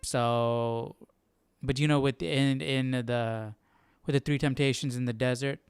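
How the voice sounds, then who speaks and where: Clear-voiced man musing casually, dry sound. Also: mid-range voice, fairly even intonation, no room sound. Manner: halting, informal, searching for words. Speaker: Bible study livestream host. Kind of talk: casual thinking-aloud commentary.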